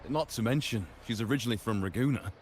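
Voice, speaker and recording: A man's voice: medium pitch, fast, slight background noise, lightly acted. An actor playing a chatty, knowing male arena spectator in a video game, gossipy and conversational.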